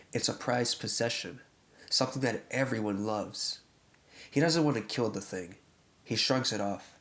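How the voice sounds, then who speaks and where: A man narrating a scripted horror story recap in a deliberate way, reading out events in short phrases with a gravelly, creaky voice. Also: lowish voice, a bit bright.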